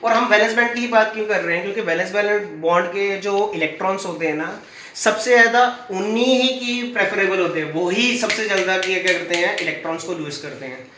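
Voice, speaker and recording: A man's voice: high voice, fairly quick, sharp tone, echoey room, far from the mic, slight background noise. A man with a sore throat teaching a physics class, putting questions to the students and answering them himself. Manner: uses everyday stories as examples. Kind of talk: classroom lecture.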